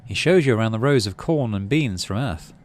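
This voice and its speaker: A man's voice: fairly low pitch, lively intonation, a little fast. A man playing through a video game, reading the story aloud and musing to himself as he decides. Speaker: gaming video host. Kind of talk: game playthrough commentary and read-aloud narration. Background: game music.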